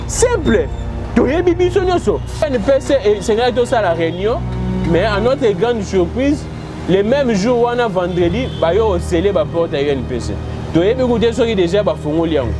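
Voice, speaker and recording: Man with a Congolese French accent, high voice, emphatic and outspoken, music playing behind him. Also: expressive, moderate pace. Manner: emphatic, forthright, mixes two languages. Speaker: guest commentator. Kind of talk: impassioned political commentary.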